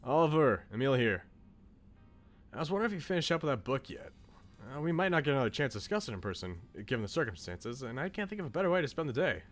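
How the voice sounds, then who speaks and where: A man voicing a composed, cautious, bookish young man in a visual novel, polite and measured. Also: medium pitch, sing-song, soft background music, natural voice. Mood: calm cautious.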